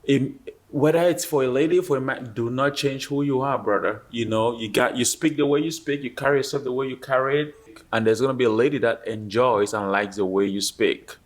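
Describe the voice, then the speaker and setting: A man's voice: mid-range voice, a little fast. A man on a reunion panel speaking earnestly, encouraging a fellow guest.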